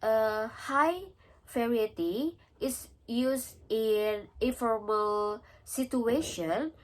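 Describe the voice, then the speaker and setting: A young woman with an Indonesian accent, answering exam questions and pausing often with filler sounds. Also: a little high. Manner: hesitant, many pauses and filler sounds. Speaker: student.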